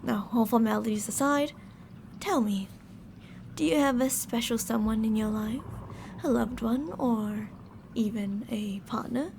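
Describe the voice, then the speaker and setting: A woman's voice: on the high side, unhurried, gravelly, a little mellow, a little hiss or hum. A woman playing teasing, possessive female mad scientists in an ASMR roleplay, coaxing and domineering with sweet menace. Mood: controlling, coaxing.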